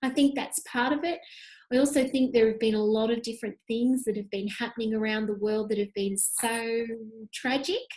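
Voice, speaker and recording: Impassioned woman, slightly high voice. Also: moderate pace, darkish tone, rough recording. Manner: impassioned, emphatic. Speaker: podcast guest. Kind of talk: conversational interview. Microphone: video call webcam.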